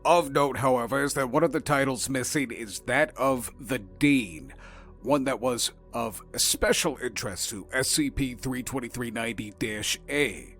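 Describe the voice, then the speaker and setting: A man playing a dry, weary Foundation researcher who reads a dossier in a deliberate, deadpan, official way for an audio drama. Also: medium pitch, close to an everyday voice. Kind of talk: scripted audio drama narration.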